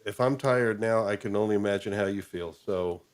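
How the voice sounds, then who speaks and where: A man's voice: on the low side, normal speed. A man hosting a live stream in casual chat, talking in a hesitant, rambling, appreciative way.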